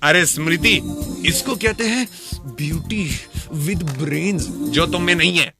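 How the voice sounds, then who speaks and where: A man's voice: sits high, slow pace, gravelly, over music, full character voice. A man playing a cheeky, wisecracking detective sidekick in a radio crime drama, with teasing rustic banter. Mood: playful, flirty.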